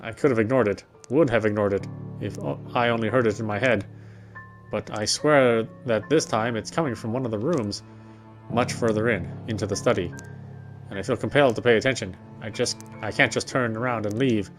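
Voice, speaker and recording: A man's voice: on the low side, fairly quick. A man reading a visual novel's narration aloud as he plays it. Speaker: game player reading the text aloud. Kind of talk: scripted narration read aloud in a gameplay video. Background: game music.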